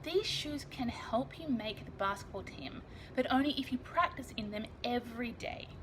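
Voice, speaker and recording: A woman voicing a kind, wise grandmother in a children's storybook, gentle, patient and encouraging. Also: a little high, animated, moderate pace, natural voice. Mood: coaxing. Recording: dull lo-fi sound, faint noise.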